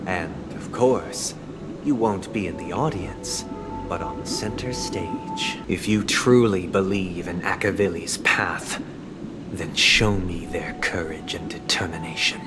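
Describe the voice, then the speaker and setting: Formal, low-voiced gentleman challenger. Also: slow pace. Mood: formal challenge. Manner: formal, ceremonious. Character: formal, self-possessed male family head, smoothly challenging. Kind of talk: theatrical video game voice acting. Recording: game music behind the voice.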